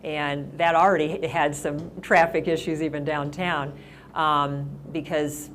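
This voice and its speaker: A woman's voice: sits low, level tone, a little slow, a bit bright, a little hiss or hum. A middle-aged woman mayor talking casually at a senior center, rambling with lots of restarts and fillers. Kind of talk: casual town-hall talk.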